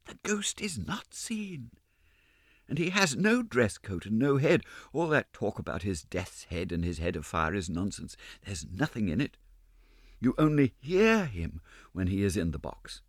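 A man's voice: very animated, a little slow, dry recording, clean studio sound, exaggerated voice. A man voicing a frightened young ballet girl in a storybook reading, tearful and breathless. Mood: hushed insistent. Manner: tearful, breathless, high-pitched.

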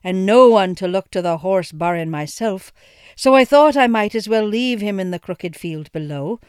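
A man's voice: sits high, expressive, normal speed, smooth, no room sound, clean studio sound. A reader voicing a frightened middle-aged farmer, a father who speaks in a grave, fearful rustic brogue.

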